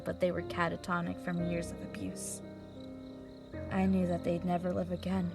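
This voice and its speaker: Woman acting as a wounded vengeful sorceress, lowish voice, emotional halting delivery, audio drama w music behind. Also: lightly acted. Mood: grim, haunted. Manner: emotive, deliberate, halting with pauses. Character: traumatized, vengeful female mage recounting her past. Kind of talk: dramatic audio roleplay monologue.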